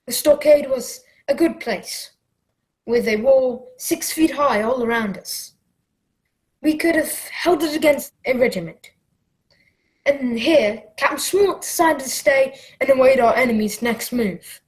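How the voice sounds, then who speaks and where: An earnest young boy adventurer, played by a woman in a radio drama. The voice is high and youthful, telling the tale as a story and speaking up as its young hero. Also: a little slow, darkish tone, rough recording. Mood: earnest, suspenseful. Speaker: narrator and lead character.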